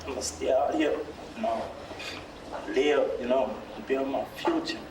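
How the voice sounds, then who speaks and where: A man's voice: medium pitch, fairly even intonation, unhurried. Man in an echoey, noisy room. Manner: colloquial, repeats "you know". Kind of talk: personal testimony. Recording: echoey room, distant mic, clear noise.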